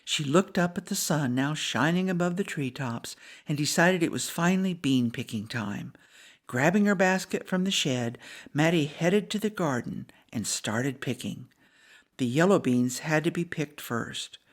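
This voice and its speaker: A woman reading a scripted children's story as a storybook narrator, in an expressive manner. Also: low voice, measured pace.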